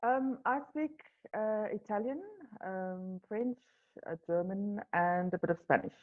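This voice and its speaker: A woman's voice: mid-range voice, a little slow, phone-quality sound. A woman from South Africa, an Afrikaans native speaker with a South African accent, answering interview questions in a casual, hesitant way with many ums and restarts. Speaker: wine tour company founder, guest.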